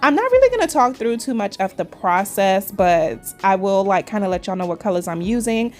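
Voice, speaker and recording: A chatty woman in her forties with a Trinidadian accent blended with New York, talking to viewers as she works on nails. She keeps up a loose, friendly flow and calls her audience y'all. Soft music plays faintly behind her. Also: mid-range voice, sing-song, brisk. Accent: Trinidadian mixed with New York. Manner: chatty, addresses viewers as cuties, says y'all. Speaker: nail vlogger. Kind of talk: casual chat while doing nails.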